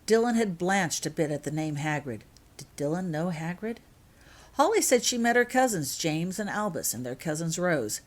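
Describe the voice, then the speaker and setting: A woman reading her own fan fiction aloud as scripted storybook narration. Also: lowish voice.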